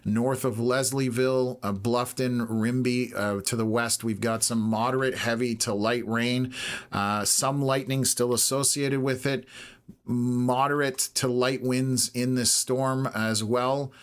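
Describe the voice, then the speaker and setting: A hoarse Canadian man hosting a live weather stream, talking through radar scans in a steady, measured way with lots of filler "uh"s. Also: mid-range voice. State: hoarse, losing his voice. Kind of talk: live storm-tracking commentary.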